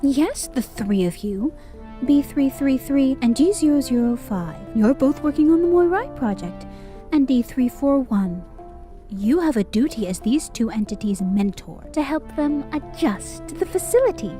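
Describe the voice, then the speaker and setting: A woman's voice: high voice, expressive, normal speed, warm tone, faint music behind. A woman playing a condescending director of an otherworldly bureaucracy in an audio drama, sweet and falsely cheerful with menace beneath. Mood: breezily commanding. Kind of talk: scripted audio drama acting.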